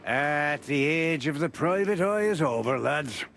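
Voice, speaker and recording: A man's voice: on the high side, animated, unhurried, creaky, fairly bright tone, a little hiss or hum, exaggerated voice. A man playing a jovial old beaver who sells newspapers in a noir adventure game, folksy and avuncular with a chuckling manner. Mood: knowing.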